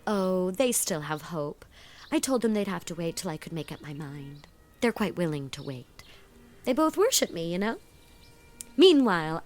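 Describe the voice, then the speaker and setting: A woman voicing a chattering, fun-loving girl of eighteen in a dramatized audiobook, gushing and playfully dramatic. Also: on the low side. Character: giddy, flighty 18-year-old girl, fond of fun.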